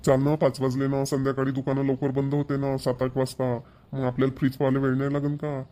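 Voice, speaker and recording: A man's voice: medium pitch, monotone, fast, warm tone, lightly acted. A man voicing an impatient thrifty husband. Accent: Marathi (Maharashtra). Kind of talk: cartoon voice acting.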